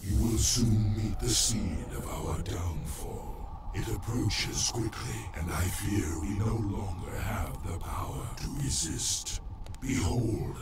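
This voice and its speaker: A man voicing an ancient, solemn male oracle spirit in a video game, speaking in a grave, breathy whisper. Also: on the low side, slow pace. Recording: game audio with loud background noise.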